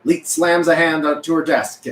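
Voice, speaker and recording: A man's voice: on the high side, little pitch movement, moderate pace, a bit bright. A man reading stage directions at a script table read, occasionally stumbling and correcting himself. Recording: echoey room with background noise.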